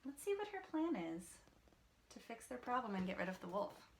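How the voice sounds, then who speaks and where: A woman's voice: fairly high pitch, very animated, normal speed, a little hiss or hum. A woman reading a children's story aloud, dramatic and teacherly, with asides to the listeners.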